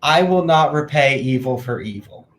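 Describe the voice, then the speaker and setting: A twenty-year-old man co-hosting a casual Q&A, teasing his friend in a deadpan, mock-serious way. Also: mid-range voice, normal speed. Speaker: co-host and regular guest. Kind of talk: casual chat.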